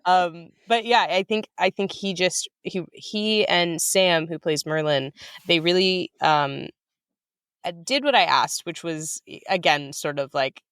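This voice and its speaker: An American woman with a smooth, clean voice, recorded close and dry, chats informally as an interview guest. She is enthusiastic and wanders through asides as she talks about her work. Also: lowish voice, measured pace, fairly bright tone, dry recording. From United States. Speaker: writer-director guest. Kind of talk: casual interview chat.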